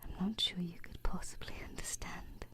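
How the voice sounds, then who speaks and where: A woman playing a shy, homesick alien princess in an ASMR roleplay, speaking gently and hesitantly with long pauses into a close binaural microphone. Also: lightly acted. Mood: shy, tentative. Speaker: ASMR streamer.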